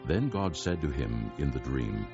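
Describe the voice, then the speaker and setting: Very deep gravelly male narrator. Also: very animated, a little slow, dull audio, music in the background. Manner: solemn storytelling. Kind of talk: scripted dramatic Bible narration.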